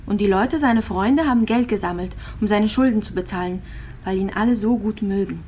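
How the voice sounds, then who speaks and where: Actress for terminally ill woman, radio play: intimate, halting, resigned with wistful hope, mid-pitched, faint noise behind. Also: darkish tone, close to an everyday voice. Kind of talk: radio drama monologue, intimate and naturalistic.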